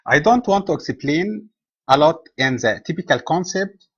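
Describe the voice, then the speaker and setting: Man from Egypt, webinar host, steady lecture, dull dry lo-fi recording. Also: on the high side, normal speed, breathy edge, no echo.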